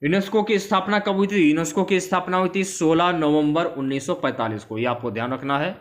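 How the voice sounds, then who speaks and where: A man's voice: on the high side, brisk. A man giving an informal exam-prep lecture, explaining questions and answers and repeating key phrases for students.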